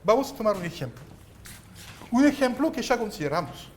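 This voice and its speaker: A man whose first language is French, lecturing a university math class and explaining each step with halting restarts. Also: high voice, sing-song, slight background noise. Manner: explains step by step, insistent, gently scolding; halting, restarts while writing on board. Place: lecture hall.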